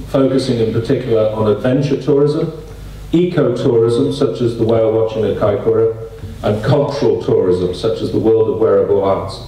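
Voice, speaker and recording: A man, a political candidate answering at a public forum in a measured way. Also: mid-range voice, mellow, slight background noise. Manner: measured, reads policy then chats. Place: community centre hall. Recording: echoey hall, distant mic.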